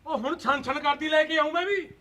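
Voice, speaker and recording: A man's voice: extremely high pitch, fairly quick, bright, forceful tone. A man acting a resentful elder brother who grumbles and makes boastful threats. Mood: grumbling. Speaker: actor in a family quarrel skit.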